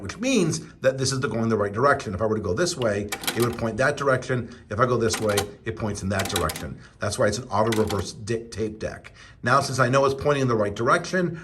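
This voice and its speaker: A man with a medium-pitched voice leads a how-to lesson in a casual, conversational way. He is chatty and playful, talking directly to the viewer as an instructor who likes to pose their questions for them. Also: fairly bright tone. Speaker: instructor presenting a how-to video.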